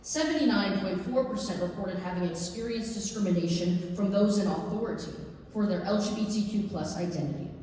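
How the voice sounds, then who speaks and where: An earnest, urgent woman , played by an actress, delivers a public plea in a deliberate, emphatic way. Her medium-pitched voice rings around a big reverberant theatre, picked up from a distance over faint noise. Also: warm tone, lightly acted. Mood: grave, measured. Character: earnest, urgent woman pleading at a school board. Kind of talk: staged reading of a public comment speech. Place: library district theatre stage. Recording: echoey hall, distant mic, faint noise.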